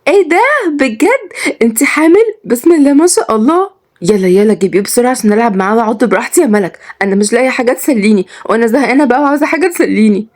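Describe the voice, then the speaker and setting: A woman's voice: a little high, rapid-fire, a little mellow, voice pushed up, natural voice. A woman voicing a cheeky, defiant schoolgirl with an Egyptian accent in an audio story. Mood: excited. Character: cheeky, feisty schoolgirl, defiant younger sister.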